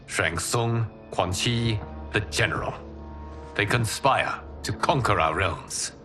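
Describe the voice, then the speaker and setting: An actor playing a calm, wise male demigod in a video game cutscene, speaking in a measured, solemn way. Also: fairly low pitch, music in the background. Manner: measured, solemn, soft-spoken. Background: orchestral game score.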